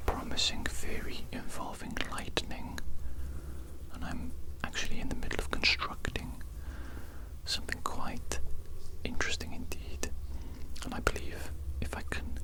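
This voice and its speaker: A woman playing a shady, evil mad doctor in a whispered ASMR roleplay, formal and deliberate, politely sinister and repeating phrases. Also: close to an everyday voice, rough recording. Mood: earnest, wistful.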